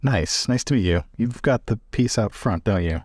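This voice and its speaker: A man's voice: lowish voice, animated, moderate pace, a little mellow, dry recording, clean studio sound. A man playing a polite, understated traveling university professor in a tabletop game. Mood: polite, reserved.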